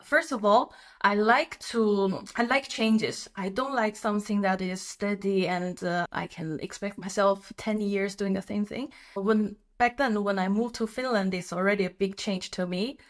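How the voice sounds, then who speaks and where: A woman's voice: medium pitch, steady tone, normal speed, few pauses. A woman from China, chatting as an interview guest. She laughs often and fills her talk with "uh" and "like.". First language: Chinese. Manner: chatty, laughing, with frequent fillers. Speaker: guest, company co-founder. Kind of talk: casual interview.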